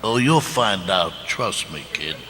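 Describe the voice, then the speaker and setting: A man's voice: sits low, big pitch swings, measured pace, pushed and bright, pitched below the performer's own voice, heavily acted. A man voicing a cocky, streetwise cartoon wise guy in a live comedy script reading. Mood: cocky, evasive. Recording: echoey convention hall, background noise.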